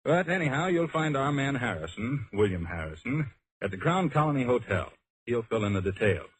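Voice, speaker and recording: A man's voice: mid-range voice, big pitch swings, brisk, close to an everyday voice. A man acting a formal, businesslike insurance company official in an old-time radio drama. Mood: formal, pressing. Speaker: insurance client briefing an investigator. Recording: noisy vintage radio recording.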